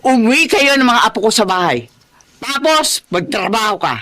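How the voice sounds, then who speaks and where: A woman's voice: fairly high pitch, expressive, moderate pace, a bit bright. An actress playing a stern, scornful middle-aged mother and grandmother in a Filipino radio drama, scolding emphatically.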